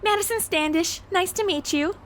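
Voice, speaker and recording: A woman's voice: very high voice, moderate pace. An actress playing a flippant, sarcastic modern young woman turned amateur sleuth in a comedic audio drama, full of slang. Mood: sassy, chummy. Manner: sarcastic modern slang, valley-girl phrasing.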